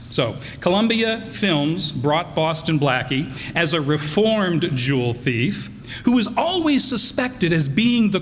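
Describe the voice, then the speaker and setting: A man hosting a live radio play evening, introducing it in a folksy, jokey way. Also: on the high side. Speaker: announcer and host. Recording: reverberant hall, faint background noise.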